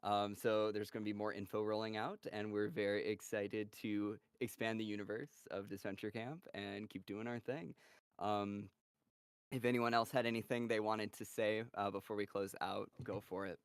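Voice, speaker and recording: Smooth low-voiced man hosting a stream. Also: flat delivery, moderate pace, dry recording, clean studio sound. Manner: laughs often, friendly wrap-up plugs. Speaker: host of a live table read and Q&A. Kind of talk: casual live-stream chat.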